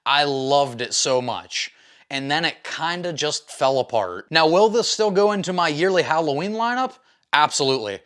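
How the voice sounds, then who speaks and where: A man's voice: fairly high pitch, lively intonation, moderate pace, fairly bright tone. A man hosting a casual film review, talking in an informal, enthusiastic, slangy and opinionated way. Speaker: movie reviewer, video host.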